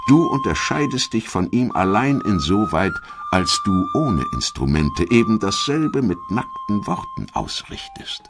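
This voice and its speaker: A man reading scripted documentary narration in a measured, articulate storytelling manner. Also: lowish voice, big pitch swings, over music.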